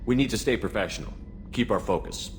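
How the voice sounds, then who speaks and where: Man acting a brisk, low-voiced military commander. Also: slight background noise, natural voice. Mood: earnest. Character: earnest, steady male military starship commander. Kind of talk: video game character acting.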